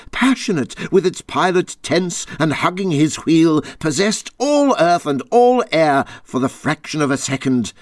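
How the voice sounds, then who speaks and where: Expressive male storybook narrator, very animated pitch. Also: dry recording. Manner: dramatic, with deliberate phrasing. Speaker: audiobook narrator.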